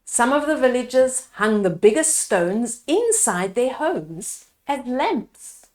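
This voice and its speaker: A woman reading a children's picture book aloud as a storyteller, pausing to explain the pictures to young listeners. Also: fairly high pitch, very animated, a little mellow. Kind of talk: read-aloud storytime narration with asides to children.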